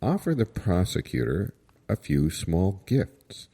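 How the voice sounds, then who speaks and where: A man's voice: low voice, very animated, mellow, breathy edge, heavily acted. A man playing a solemn, indignant prosecutor in an improvised courtroom comedy, drawing out his words with emphasis. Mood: slow deliberation. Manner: slow, drawn-out emphatic delivery. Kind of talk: improvised comedy audio sketch. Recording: guitar music playing behind.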